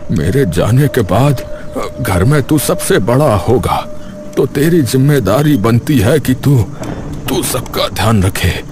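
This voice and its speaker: A man playing a dying old father in an audio story, solemn and pleading, with halting delivery. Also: medium pitch, expressive, music in the background. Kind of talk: Hindi audio story drama.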